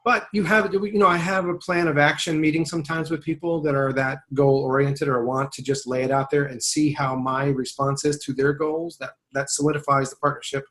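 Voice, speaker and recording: Higher-voiced man, rambling and thoughtful. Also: little pitch movement, brisk, hardly any pauses, fairly bright tone. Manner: thoughtful, rambling, restarts often. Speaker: voiceover agent. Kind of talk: casual webinar conversation.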